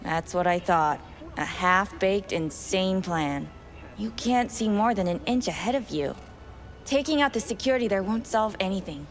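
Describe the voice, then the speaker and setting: Game role, female actor: jaded woman schemer, sardonic and dismissive, medium pitch. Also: expressive, normal speed, faint music behind, natural voice. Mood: scornful, businesslike. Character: jaded, scornful woman plotting a gang takeover. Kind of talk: video game voice acting.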